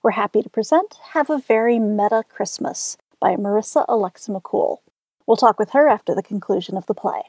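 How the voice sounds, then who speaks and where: A woman's voice: medium pitch, a little fast, a little mellow, slightly rough, no room sound. A woman hosting a radio show, reading a scripted introduction.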